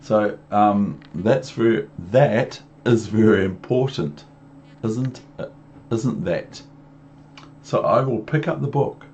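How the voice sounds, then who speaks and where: A man's voice: fairly low pitch, slow, a little mellow, muffled recording, slight background noise. A man with a New Zealand accent reading a storybook to young children, rambling into chatty asides and questions for the listener. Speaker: storybook reader.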